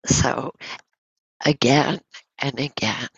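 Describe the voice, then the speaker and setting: A woman with a cold speaks softly as a meditation teacher, gently guiding listeners in short phrases with pauses between them. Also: extremely low pitch, steady tone, vocal fry, slightly rough, no room sound, lo-fi sound. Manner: soft, unhurried, pausing between short phrases. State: has a cold. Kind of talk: gentle guided meditation talk.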